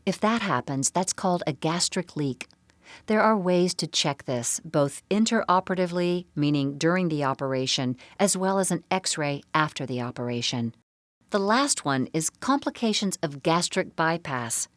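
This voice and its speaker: Female narrator for a medical presentation: low register, measured and explanatory, close dry recording. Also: animated, no echo. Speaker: seminar narrator.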